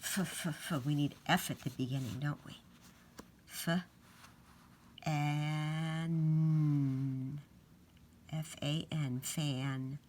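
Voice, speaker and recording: Slow, low-pitched woman teaching young children. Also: steady tone, slight background noise. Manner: sounds out letters and words deliberately. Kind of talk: storybook read-aloud and phonics lesson.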